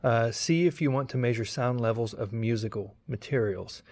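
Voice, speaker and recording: A man's voice: medium pitch, normal speed, a little mellow. A man hosting a casual hands-on tutorial, explaining informally and thinking aloud, often correcting himself as he goes. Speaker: YouTube host demonstrating vintage audio testing.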